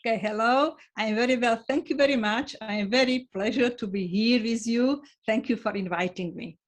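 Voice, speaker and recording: Slovak-accented woman guest, non-native English, sincere and a bit halting. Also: on the high side. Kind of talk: online interview.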